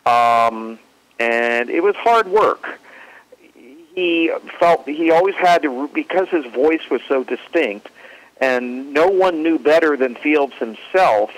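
A man presenting an informal historical talk in a halting, hesitant manner. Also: on the high side, animated, poor audio. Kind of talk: informal historical talk introducing radio clips.

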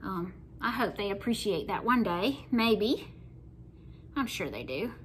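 A woman's voice: mid-range voice, animated, a little slow, a little hiss or hum. A middle-aged woman with a Southern US accent, chatting folksily through a painting tutorial at her kitchen table. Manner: chatty, folksy, talks while working. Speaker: craft painting host. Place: home kitchen table, indoors.

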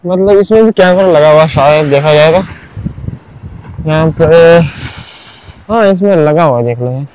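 A man's voice: a little high, moderate pace, long pauses, a little mellow, slight background noise, rough recording. Chatty man walking a beach. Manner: chatty, keeps saying "bhai" and "matlab". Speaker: vlogger. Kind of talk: casual walking tour narration. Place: outdoors on a beach at sunrise.

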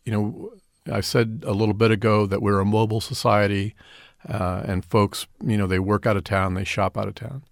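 A man's voice: lowish voice, little pitch movement, normal speed, vocal fry, dry recording, studio quality. A middle-aged man answering radio interview questions earnestly, often repeating and restarting words. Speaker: community development director, guest.

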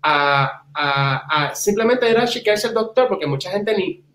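A man's voice: high-pitched, animated, a little slow, a bit bright. A man in his thirties with a Puerto Rican accent, speaking passionately and emphatically as an interview guest on a video call. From Puerto Rico. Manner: passionate, emphatic, repeats words for stress. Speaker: interviewee, community advocate. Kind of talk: conversational interview answers in Spanish with some English words. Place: indoors, small wood-walled room at home. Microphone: computer mic on a video call. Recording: roomy, echoey video call.

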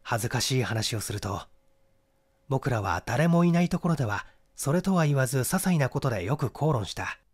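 A man voicing an earnest young gentleman in an audiobook, reasoning things out in a confessional manner. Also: medium pitch, very animated, normal speed, slightly rough. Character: earnest young gentleman explaining a bitter love rivalry. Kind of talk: dramatized audiobook dialogue.

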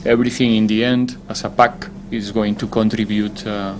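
Low-voiced football coach fielding questions. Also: male voice, little pitch movement, noisy background. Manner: measured, deliberate, pauses to search for words. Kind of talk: post-match press conference answers.

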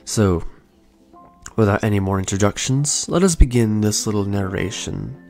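A young man in his twenties hosting a podcast, telling his own story in a soft, slow, soothing way. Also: fairly low pitch, vocal fry. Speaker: podcast host. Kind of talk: calm reflective storytelling. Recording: faint lo-fi music bed. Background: soft lo-fi music.

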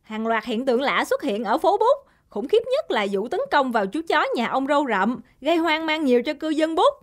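High, dramatic cartoon newsreader. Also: female voice, sing-song, normal speed. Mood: alarmed, urgent. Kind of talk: mock news bulletin.

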